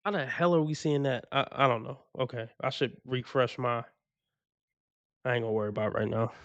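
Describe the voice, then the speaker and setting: A man's voice: mid-range voice, fairly even intonation, brisk, a bit bright, no room sound, muffled recording. Slangy laughing male stream host. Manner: slangy, laughing, repeats himself for emphasis. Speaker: streamer reacting to clips. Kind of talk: casual reaction commentary.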